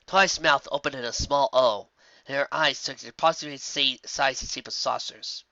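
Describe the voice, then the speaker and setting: An amateur narrator reading scripted fantasy fan fiction in an expressive storytelling manner. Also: mid-range voice, normal speed, creaky, no room sound, muffled recording.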